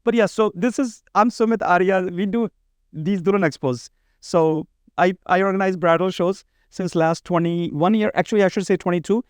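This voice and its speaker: A man's voice: sits high, normal speed, clear, resonant tone, no room sound, studio quality. A man talking casually as an interview guest, earnest and often repeating his phrases. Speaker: podcast guest, bridal expo organizer.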